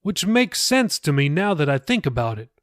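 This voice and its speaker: A man acts out an earnest male pastor in an audiobook story. He speaks in a raised pitch with casual, insistent persuasion, and the sound is close and dry. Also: very animated, normal speed, fairly bright tone, dry recording. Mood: earnest, explaining. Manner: colloquial, insistent, questioning. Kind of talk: audiobook character dialogue.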